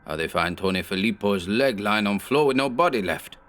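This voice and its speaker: A man's voice: lowish voice, animated, a bit bright. Man doing a frightened thug in broken English. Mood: pleading. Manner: broken, halting dialect. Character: big hog-necked male thug, frightened, broken English.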